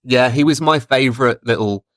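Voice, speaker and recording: A man in his thirties chatting casually as a podcast co-host, joking in a deadpan way. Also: mid-range voice, normal speed, dry recording, studio quality. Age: 30s. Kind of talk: casual bantering podcast chat. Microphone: online call.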